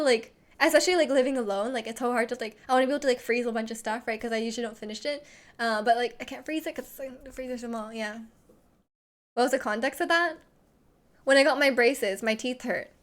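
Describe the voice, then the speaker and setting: A young woman streamer with a slight lisp, chatting casually and rambling with lots of "like" while reading chat messages. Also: a little high. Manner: rambling, heavy use of "like".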